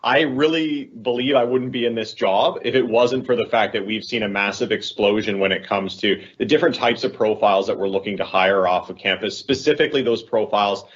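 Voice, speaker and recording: A man's voice: fairly low pitch, brisk, few pauses, breathy edge, dull audio. A man speaking as a corporate talent head on a panel, explaining his points reflectively and correcting himself as he goes. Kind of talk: conversational panel answer.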